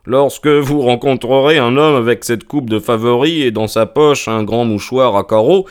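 A man voicing a shrewd detective in an audiobook, courteous and commanding, with deliberate, articulate delivery. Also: medium pitch, clear, resonant tone, voice pushed up, lightly acted. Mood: shrewd, pleased. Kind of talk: audiobook character voice.

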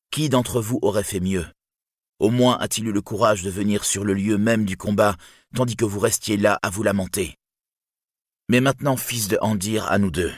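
Man voicing a proud, wrathful wounded warrior, husky. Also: on the low side, no echo, clean studio sound. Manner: declamatory, indignant, imperious. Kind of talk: dramatic fantasy storybook reading.

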